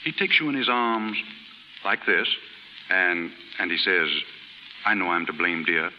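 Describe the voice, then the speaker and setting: An actor playing a busy, paternal author in a 1930s radio drama, measured, fond and gently correcting. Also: male voice, deep voice, expressive, heavily acted. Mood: coaxing. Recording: hissy 1930s radio recording, reverberant stage.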